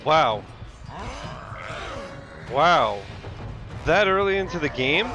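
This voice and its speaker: A man's voice: fairly high pitch, expressive, extremely slow, muffled recording. A man reacting to game cutscenes on a livestream with casual, joking, slangy, irreverent chat. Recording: game music bleeding behind voice. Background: game music.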